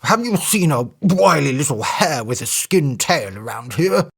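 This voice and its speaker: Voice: medium pitch, very animated, measured pace, creaky, breathy edge, heavily acted. A storyteller voicing a big, strong male elephant who blusters and threatens, then turns falsely polite.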